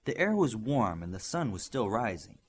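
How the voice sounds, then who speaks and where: A man's voice: on the low side, very animated, normal speed, husky. A man reading scripted fiction as an audiobook narrator.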